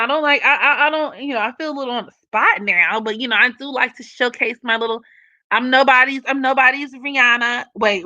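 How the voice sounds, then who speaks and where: A young woman with a raspy voice hosting a casual live stream, reading comments and giving blunt, profane opinions with frequent laughs. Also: a little high, bright, forceful tone, dry recording, lo-fi sound.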